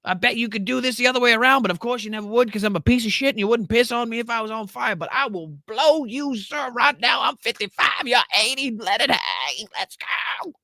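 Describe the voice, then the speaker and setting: A man's voice: extremely high pitch, animated, brisk, gravelly, hardly any pauses, bright, forceful tone, dry recording, clean studio sound, pitched above the performer's own voice, heavily acted. A man doing a groveling crude middle-aged man. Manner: crude and fawning. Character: groveling, self-pitying 55-year-old man.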